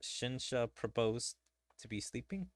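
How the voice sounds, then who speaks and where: A man's voice: medium pitch, animated, unhurried, no room sound, clean studio sound. A man giving casual gameplay commentary as a streamer, with irreverent, joking asides. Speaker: gaming streamer. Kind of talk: casual gameplay commentary, reading story dialogue aloud. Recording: game music behind the voice. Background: video game music.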